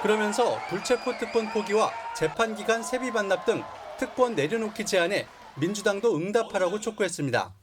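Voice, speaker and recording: A male TV reporter reading a scripted news report in a crisp, even way. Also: high-pitched, fairly quick, hardly any pauses, a crowd in the background. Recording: clear background noise.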